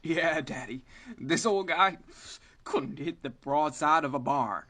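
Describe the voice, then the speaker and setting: Actor as a sharp-voiced, defiant young cowboy. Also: male voice, on the high side, takes it slowly, heavily acted. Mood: scornful. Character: defiant, hot-headed young cowboy, outlaw's son. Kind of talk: western radio play acting.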